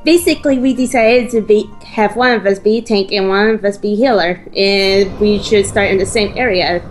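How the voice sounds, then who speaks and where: A woman's voice: medium pitch, moderate pace. A woman chatting casually as a co-player during a video game session. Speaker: co-player and guest commentator. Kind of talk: casual gameplay chat. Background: game music.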